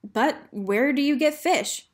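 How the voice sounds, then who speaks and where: A woman's voice: high voice, slow, pitched above the performer's own voice. A woman voicing a cheerful, folksy, simple-minded male train engineer in a children's storybook, eager and easily puzzled. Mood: curious.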